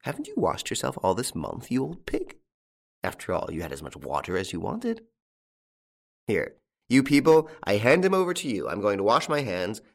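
A man voicing a cheerfully mocking, self-assured gentleman in an audiobook, with a teasing, theatrical manner. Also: fairly low pitch, wildly swooping pitch, normal speed, gravelly, full character voice. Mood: disdainful. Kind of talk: audiobook character acting.

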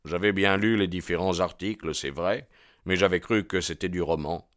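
A man's voice: deep voice, moderate pace, dry recording, clean studio sound, natural voice. A man voicing a bold, ardent young man in an audiobook, proud and insistent in his delivery. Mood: defiant. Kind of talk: audiobook character dialogue.

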